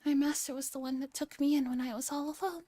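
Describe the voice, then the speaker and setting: A woman's voice: high voice, fairly even intonation, fast talker, warm tone, dry recording, close to an everyday voice. A woman voicing a clumsy, boastful young robot maid from a visual novel, making solemn, over-dramatic declarations. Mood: solemn boasting.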